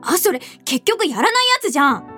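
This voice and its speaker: A high-voiced teenage girl played by a woman in a radio drama, earnest and persistent. She talks directly and also narrates. Soft music sits behind her voice. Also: sing-song, a little fast, full character voice. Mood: playful. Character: earnest, persistent teenage schoolgirl learning ballet.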